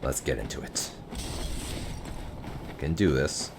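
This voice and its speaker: A young man with a deep voice, streaming a game and talking wryly into a close mic about his own failures. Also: expressive, slow pace, gravelly, very noisy. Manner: self-deprecating, wry, muttering curses. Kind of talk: casual gameplay commentary. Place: home office. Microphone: close desk mic.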